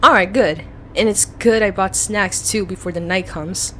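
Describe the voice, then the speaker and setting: A young woman acting out a playful, excitable best friend with a secret crush in an ASMR roleplay audio, speaking in a chatty, expressive way. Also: medium pitch, moderate pace, slight background noise, close to an everyday voice. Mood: excited, playful.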